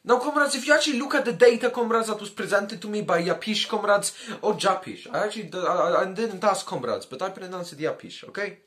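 A man's voice: high-pitched, animated, fast, fairly bright tone. A man delivering an informal political commentary as a brash, boastful comic communist persona, swearing freely and addressing listeners as comrades. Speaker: video host.

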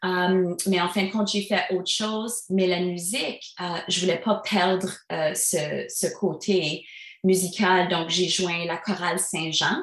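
A woman's voice: on the low side, flat delivery, unhurried, a bit bright, roomy sound. A Franco-Albertan woman in her thirties, a singer-songwriter guest, talking in a casual, chatty way with many fillers. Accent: Franco-Albertan French. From Alberta, Canada. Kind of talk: casual interview talk.

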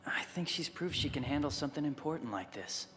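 A man's voice: on the high side, expressive, fast talker, a bit bright. An actor playing an earnest, informal young male warrior in a video game cutscene. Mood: earnest, hesitant. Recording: clear background noise, game audio.

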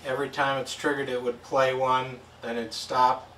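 A man's voice: medium pitch, level tone, moderate pace, bright, forceful tone. Distant, echoey man demonstrating. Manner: plain, step-by-step explaining. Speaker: product demonstrator. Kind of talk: casual instructional electronics demo. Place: indoor tabletop demo setup with backdrop. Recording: echoey, distant mic with background noise.

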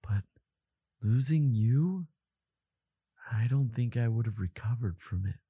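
A man acting a devoted, protective boyfriend in an intimate audio roleplay, speaking softly in halting, earnest reassurance. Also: mid-range voice, lively intonation, slightly rough, no echo, phone-quality sound, full character voice. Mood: insistent, loving.

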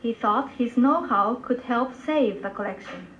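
A woman's voice: on the high side, lively intonation, measured pace. A woman narrating a scripted news report, replayed over speakers in a room. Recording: muffled, noisy playback in a room.

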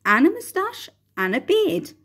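A woman reading aloud and voicing an eccentric, excitable male chocolate maker, raising her voice to sound bright and bossy. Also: sits high, measured pace, voice pushed up, heavily acted. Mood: excited. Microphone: phone camera at close range.

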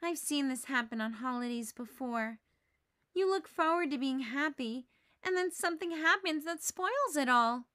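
A woman's voice: sits high, lively intonation, normal speed, pushed and bright, dry recording, studio quality. A woman voicing an exasperated, dramatic tomboy schoolgirl in a storybook, emphatic and plaintive. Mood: glum.

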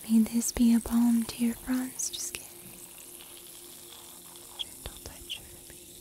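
A young woman playing a gentle mystical healer in an ASMR fantasy roleplay, whispering slowly, breathily and tenderly. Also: a little high, level tone, a little hiss or hum. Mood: tender, comforting. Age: young adult. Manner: slow, soothing, tender. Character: gentle mystical female healer comforting a weary wanderer. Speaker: ASMR performer.

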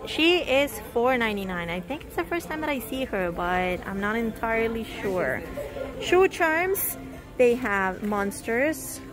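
Shopping vlogger, woman, higher voice, phone-filmed in a shop with music playing. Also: big pitch swings, a little slow. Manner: reads out prices, exclaims in surprise. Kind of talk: casual shopping walkthrough. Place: indoor discount store. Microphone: handheld phone camera. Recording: store music playing behind. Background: store music.

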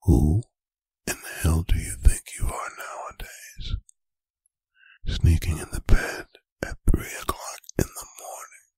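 A man playing a stern, angry, domineering boyfriend in a whispered ASMR roleplay, breathy and gravelly, with slow, deliberate, menacing phrasing. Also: sits low, a little mellow, no room sound, exaggerated voice. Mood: quiet anger. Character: stern, angry, domineering boyfriend scolding his adult partner. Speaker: ASMR voice performer. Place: bedroom, close and dry.

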